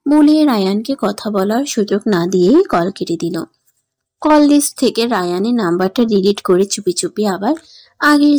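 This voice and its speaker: A woman's voice: on the high side, dark tone, no room sound. A woman narrating a scripted dramatic story as its storyteller.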